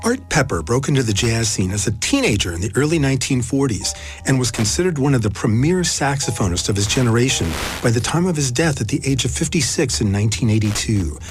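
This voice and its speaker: A man's voice: mid-range voice, very animated, fast talker, rough recording. A man hosting a music radio program, reading a scripted introduction. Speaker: jazz radio program host. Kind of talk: scripted narration.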